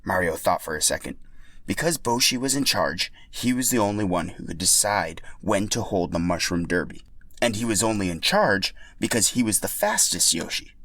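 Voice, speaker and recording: A man's voice: sits low, normal speed, fairly bright tone, no room sound. A man narrating a scripted story as a podcast storyteller.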